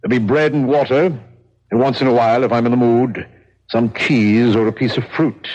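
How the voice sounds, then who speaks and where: A man's voice: mid-range voice, fairly even intonation, brisk, darkish tone, lightly acted. An actor playing a brusque, callous male jailer in an old radio drama, curt and matter-of-fact. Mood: brusque, impatient. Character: brusque, callous male jailer, coolly indifferent to his prisoner. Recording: dull, lo-fi old radio recording.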